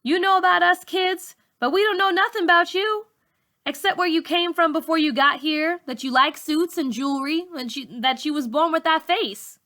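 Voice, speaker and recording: A woman's voice: extremely high pitch, normal speed, pushed and bright, pitched above the performer's own voice. A woman voicing a blunt, nosy middle-school girl from a storybook, with informal, challenging questions. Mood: indignant.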